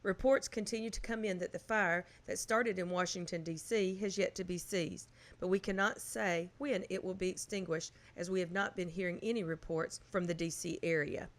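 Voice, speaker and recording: A woman playing a formal, measured radio news announcer reading bulletins from a collapsing world in an audio drama. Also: lowish voice, steady tone, natural voice. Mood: grave, official.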